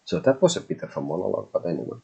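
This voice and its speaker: A Finnish-accented man in middle age talks in a low, husky voice. He rambles casually as the host of a live tarot stream, restarting phrases and dropping the odd swear word. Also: sing-song, rapid-fire, warm tone, highs cut off. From Finland. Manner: loose and chatty, with restarts and mild swearing.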